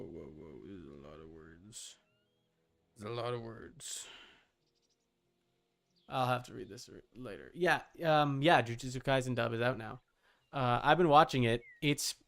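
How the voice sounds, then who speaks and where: A man's voice: mid-range voice, creaky, a bit bright. A man hosting a game livestream, talking casually and informally in a rambling way, thinking aloud as he goes.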